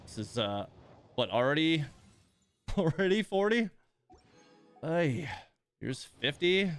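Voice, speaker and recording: A man livestreaming a game in a casual, grumbling way. His pitch jumps around a lot, and he takes his time between remarks. He sounds exasperated, with mild swearing and sighs. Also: sing-song, slow, faint music behind. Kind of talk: casual livestream chat and reactions while playing.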